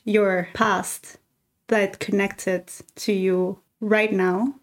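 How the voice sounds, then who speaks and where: A woman hosting a podcast who asks curious, gentle questions. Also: mid-range voice, little pitch movement, extremely slow. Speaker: podcast host. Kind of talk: interviewing in a podcast conversation.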